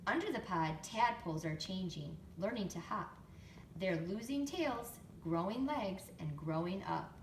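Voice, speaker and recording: A woman reading a picture book to young children expressively, pausing to explain things and ask them questions. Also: mid-range voice, lively intonation. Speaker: storytime reader, teacher to young children. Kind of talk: picture book read-aloud with chatty asides. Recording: roomy, distant mic, faint background noise.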